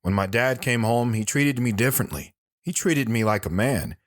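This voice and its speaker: A man's voice: mid-range voice, normal speed, no room sound, clean studio sound, close to an everyday voice. An actor playing a reflective grown man recounting his boyhood in a first-person audio drama. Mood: nostalgic.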